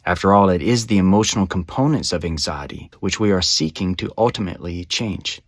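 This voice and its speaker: Low-pitched man guiding a meditation. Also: no room sound, clean studio sound. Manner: measured, instructional, soothing phrasing. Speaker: meditation guide. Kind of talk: scripted guided meditation narration.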